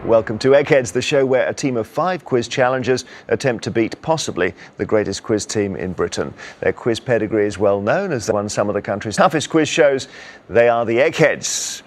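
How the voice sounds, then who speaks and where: A man's voice: mid-range voice, normal speed. Upbeat man hosting a quiz show. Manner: upbeat, teasing, playful. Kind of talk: scripted game show presenting. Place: television studio.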